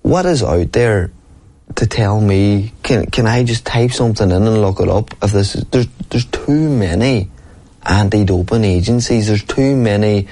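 A man's voice: lowish voice, normal speed, mellow. A man, a professional boxer talking earnestly and at length in an interview, often asking "you know what I mean". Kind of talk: casual interview talk.

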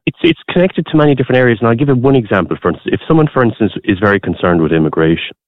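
A man's voice: mid-range voice, lively intonation, rapid-fire, dry recording. An Irish man from Waterford calling into a radio show, arguing his case earnestly and pressing on through interruptions. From Ireland. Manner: earnest, pushes on through interruptions with many restarts. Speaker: radio caller. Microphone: phone line. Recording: muffled phone-in line.

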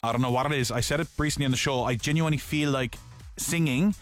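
A man's voice: mid-range voice, fast talker, soft background music. A man hosting a breakfast radio show, chatty and jokey as he gives away tickets. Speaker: radio host. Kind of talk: breakfast radio chat and giveaway. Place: radio studio.

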